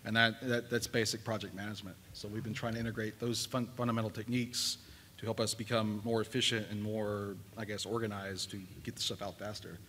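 Fast-talking man with a lowish voice. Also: level tone, bright, forceful tone. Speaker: panelist. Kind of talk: unscripted panel talk. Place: convention panel room. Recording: reverberant room, faint background noise.

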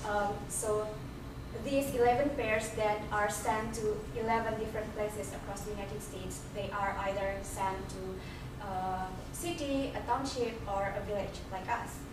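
A woman's voice: mid-range voice, steady tone, a little slow. A young woman from Indonesia giving a community presentation, hesitant with many ums and restarts. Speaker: presenter, international fellow. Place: community center meeting room. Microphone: far camera. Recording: echoey room from a far camera, noisy.

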